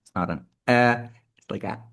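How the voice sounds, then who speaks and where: A man's voice: mid-range voice, normal speed, creaky, a bit bright. A man whose first language is Ukrainian, leading an online workshop, explaining and demonstrating vowel sounds on a video call. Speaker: workshop instructor.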